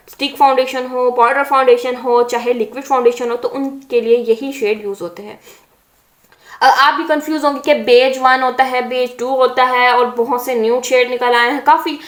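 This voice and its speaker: High-pitched chatty beauty vlogger woman. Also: fairly even intonation, moderate pace. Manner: chatty, explanatory, frequent hesitations. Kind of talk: casual makeup tutorial. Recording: echoey room.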